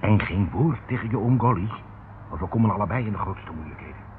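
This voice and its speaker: A man's voice: fairly low pitch, expressive, fairly quick, close to an everyday voice. An actor in his fifties playing a coaxing, evasive ship captain in a radio drama. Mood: urgent, hushed. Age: 50s. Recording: tinny band-limited old radio recording, noisy.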